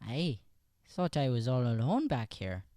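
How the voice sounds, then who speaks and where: Irish lad, played by a man, eager and halting, clean lively voice, dry studio sound, radio-play comedy. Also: medium pitch, no room sound. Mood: curious. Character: Finnegan, curious eager Irish lad, new in town. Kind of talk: improvised old-time radio play acting.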